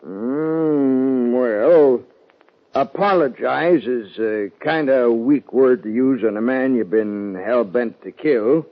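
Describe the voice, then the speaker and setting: A man playing a sheepish, plain-spoken Old West sheriff in a 1950s radio drama, talking in a halting, folksy way. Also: mid-range voice. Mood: sheepish, apologetic. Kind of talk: 1950s radio western acting. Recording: muffled old radio recording.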